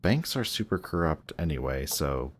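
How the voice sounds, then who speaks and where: A man playing a grumpy, guarded villain who is secretly softhearted, speaking haltingly and defensively in an intimate one-sided roleplay audio. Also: rumbling low, sing-song, a little hiss or hum. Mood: defensive, stubborn. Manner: halting, defensive, reluctantly tender. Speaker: voice actor.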